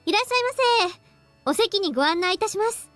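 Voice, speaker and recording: An actress voicing a cute young waitress in a Japanese visual novel, with a polite customer-service manner. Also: female voice, very high voice, lively intonation, racing, fairly bright tone, faint music behind. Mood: cheerful. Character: cute young waitress, cheerful but flustered.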